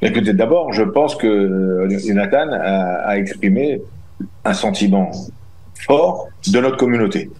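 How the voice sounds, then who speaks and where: A man's voice: fairly low pitch, lively intonation, unhurried, a little mellow, rough recording. Indignant middle-aged man, emphatic. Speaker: guest, community representative. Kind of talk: radio interview answers.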